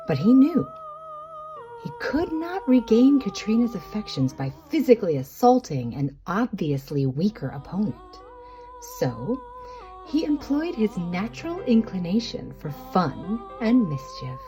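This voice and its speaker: A woman narrating a storybook tale for a puppet show in a theatrical, expressive way, savoring the words. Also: mid-range voice, a little slow, highs cut off, faint music behind.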